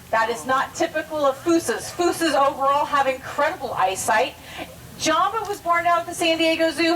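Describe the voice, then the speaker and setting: Female zoo educator, high-pitched, informal live presenting style, picked up by a distant mic. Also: fairly bright tone, a little hiss or hum. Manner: explanatory, informal, addresses onlookers directly. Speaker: zoo animal show presenter.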